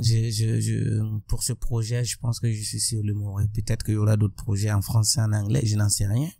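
Man with a gravelly voice. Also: fairly low pitch, dark tone, dry recording, clean studio sound. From Burkina Faso. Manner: thoughtful, halting with many restarts. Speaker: musician guest. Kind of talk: reflective interview talk. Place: bright room with plants and large windows. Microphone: studio mic on a boom arm.

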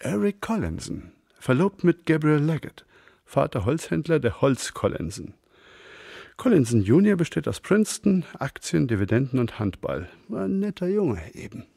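An actor playing a witty, wordy novelist with ornate, ironic phrasing in a radio crime drama. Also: male voice, fairly high pitch, big pitch swings, normal speed, creaky, mellow, no room sound, clean studio sound, heavily acted. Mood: musing, ironic. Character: witty, wordy male novelist, old friend of detective. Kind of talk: German radio play acting.

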